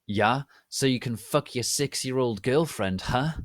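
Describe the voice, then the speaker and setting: A man voicing a furious, sarcastic, melodramatic teenage goth girl who shouts and growls. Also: mid-range voice, a little slow, no room sound, clean studio sound, natural voice.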